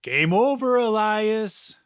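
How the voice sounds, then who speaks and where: A man voicing an energetic young boy space explorer in a storybook, excitable and exclaiming, exasperated but loyal. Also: sits high, slow, no echo, studio quality, voice pushed up. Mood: exasperated, urgent.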